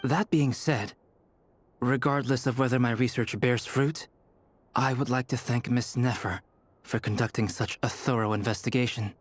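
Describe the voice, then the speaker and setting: An actor playing a calm, scholarly researcher in a fantasy game, speaking in a measured, formal manner. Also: male voice, mid-range voice, soft background music, close to an everyday voice. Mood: calm, analytical.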